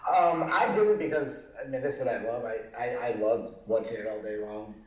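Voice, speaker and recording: A man's voice: mid-range voice, brisk, a little mellow. A man with a Rhode Island accent talks casually as a podcast guest, rambling with fillers and restarting his sentences. His voice bounces around an echoey room. The sound is dull and lo-fi, with little top end. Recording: echoey, dull lo-fi remote audio.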